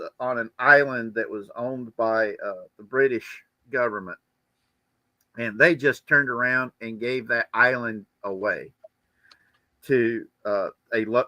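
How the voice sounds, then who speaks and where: A man with a bright, forceful voice hosts a casual livestream in a close, dry sound. He rambles through commentary and slips in joking asides. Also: medium pitch, dry recording. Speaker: livestream host. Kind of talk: casual chat and political commentary.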